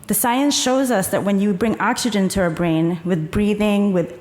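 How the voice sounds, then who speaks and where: A woman giving a conference talk, calmly guiding the audience through a breathing exercise and explaining the ideas behind it. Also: mid-range voice, normal speed. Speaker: conference presenter. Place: conference hall. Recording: echoey conference hall.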